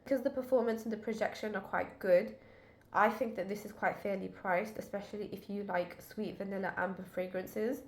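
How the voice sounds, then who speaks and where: A woman reviewing a fragrance in a casual, chatty way, her voice still lower and duller than usual as it recovers. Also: steady tone, a little fast. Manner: chatty, talks straight to viewers. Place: at her desk indoors. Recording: echoey room.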